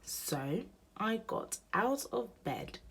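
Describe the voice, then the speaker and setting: A woman reading a children's picture book aloud in an expressive storytelling manner meant for young listeners. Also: mid-range voice, very slow, breathy edge. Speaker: storybook reader.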